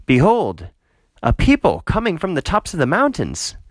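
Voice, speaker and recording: A man's voice: on the high side, huge pitch swings, normal speed, dry recording, pitched above the performer's own voice. Man reading as a defiant, declamatory rebel. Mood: alarmed. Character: defiant, boastful male rebel challenging a ruler.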